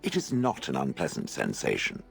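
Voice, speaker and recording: A man's voice: extremely low pitch, wildly swooping pitch, normal speed, creaky, fairly bright tone, faint music behind, heavily acted. A man voicing a huge, sardonic, vain stone golem in a video game, with a dry, condescending delivery. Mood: sardonic, haughty. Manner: dry, condescending, calls listener "it".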